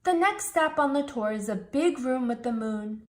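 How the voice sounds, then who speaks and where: A woman reading a children's storybook aloud, slowly, clearly and expressively. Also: on the high side, expressive. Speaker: storybook reader.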